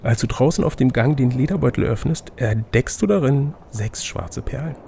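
Gravelly man narrating a gamebook. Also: mid-range voice, expressive, normal speed, dark tone, a little hiss or hum. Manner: playful, teasing. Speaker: game master reading a gamebook aloud. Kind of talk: scripted narration mixed with casual banter.

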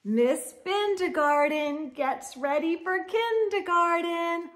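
A middle-aged woman reading a picture book aloud to children in an animated, sing-song storyteller manner. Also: very high voice, slow pace. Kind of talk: expressive children's read-aloud. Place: indoor room lined with bookshelves.

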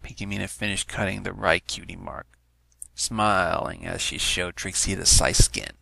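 A man's voice: low-pitched, fairly even intonation, normal speed, gravelly, sharp tone. A man narrating a written story, reading it aloud as scripted prose.

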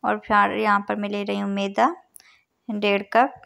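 A woman with an Urdu-influenced accent talks viewers through a recipe as a cooking channel host. Her voice has a gravelly edge and stays very even in pitch. She explains each measure in a calm, patient voice-over. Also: a little slow. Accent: Urdu-influenced Hindustani. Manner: calm, instructive, counts out measures. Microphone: voice-over over overhead cooking shots.

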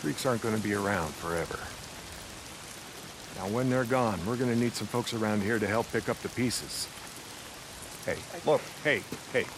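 An older American man playing a weary, peace-minded leader of a survivor camp in a game cutscene, speaking plainly and haltingly. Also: on the low side, noisy background, lightly acted. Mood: earnest, firm. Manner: halting, reflective, plain-spoken. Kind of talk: dramatic video game cutscene acting.

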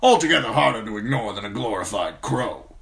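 A man voicing a blunt, gruff old military lord who gives brusque orders, in an audiobook reading. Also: on the low side, lively intonation, a little fast, fairly bright tone, pitched above the performer's own voice.

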